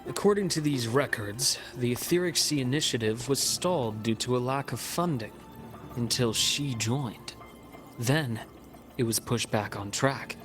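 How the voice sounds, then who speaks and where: A man voicing a composed, analytical investigator in a game, speaking in a measured, explanatory way. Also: mid-range voice, fairly bright tone, soft background music, lightly acted. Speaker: game character. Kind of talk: game cutscene voice acting.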